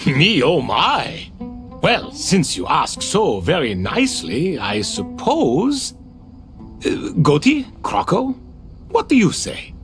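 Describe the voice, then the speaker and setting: A woman voicing a grand, playful, theatrical helper in a video game, speaking in a drawn-out manner. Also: low voice, wildly swooping pitch, faint music behind, full character voice. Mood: coyly flattered.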